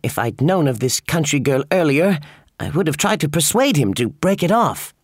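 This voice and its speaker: Pompous, self-important young gentleman. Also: a little high, big pitch swings, moderate pace, dry recording, studio quality. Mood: smug, disdainful. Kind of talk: dramatised audiobook dialogue.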